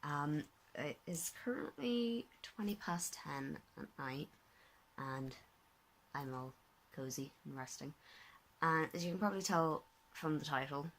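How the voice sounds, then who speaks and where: A tired, slightly hoarse young woman talking casually to her phone camera at home, rambling, correcting herself and sometimes dropping to a whisper. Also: low voice, animated, a little slow, low-quality recording. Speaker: vlogger, master's student. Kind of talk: casual vlog talk to camera. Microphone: handheld phone at arm's length.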